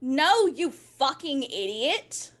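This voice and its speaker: A high-pitched, melodramatic teenage goth girl. She swings wildly in pitch and drags out her words in foul-mouthed, overwrought outbursts, with a bright, piercing edge. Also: sing-song, heavily acted. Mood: furious outrage. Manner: shrieking, drawn-out, overwrought delivery. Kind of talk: comedic dramatic reading of fan fiction.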